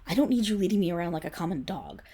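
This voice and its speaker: A woman's voice: lowish voice, expressive, very fast, warm tone. A woman playing a proud, indignant noble wolf-girl servant in an ASMR roleplay, with haughty, formal diction that falters when she is flustered. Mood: grudging, seething. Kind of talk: ASMR roleplay, dramatic voice acting.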